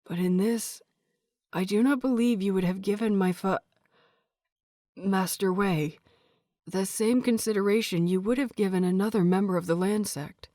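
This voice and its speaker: A woman's voice: mid-range voice, moderate pace, dark tone, dry recording, studio quality, lightly acted. A woman voicing an earnest young man, a respectful disciple who speaks politely and formally, in an audio story.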